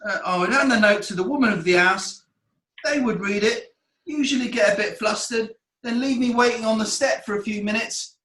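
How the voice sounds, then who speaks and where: Man playing a high-voiced, nervous young Yorkshire lad. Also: moderate pace, sharp tone, echoey room, poor audio. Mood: nervous, deferential. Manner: hesitant, deferential, self-correcting. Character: cheeky eight-year-old working-class Bradford errand boy witness. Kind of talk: comic courtroom play acting.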